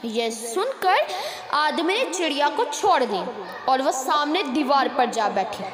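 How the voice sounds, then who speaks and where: A woman's voice: high-pitched, sing-song, a little slow. A woman hosting a storytelling channel, narrating a scripted moral story. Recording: echoey hall-like reverb, crowd-like background noise.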